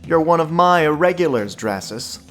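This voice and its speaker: A man's voice: on the high side, animated, normal speed, a bit bright, soft background music. A man voicing a cocky thirteen-year-old boy thief who leads a gang, bold and challenging, in an audio drama. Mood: defiant.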